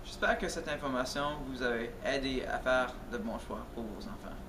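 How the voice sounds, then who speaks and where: A man reads a scripted narration in an earnest tone, speaking to parents. His voice sits at a middle pitch. A steady noisy ambience runs under the recording. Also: moderate pace. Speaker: presenter of a school video.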